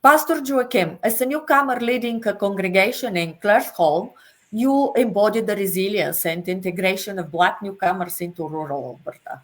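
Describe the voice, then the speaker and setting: Female moderator, mid pitch, formal and prepared, on a video call. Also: moderate pace. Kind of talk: moderating a virtual panel.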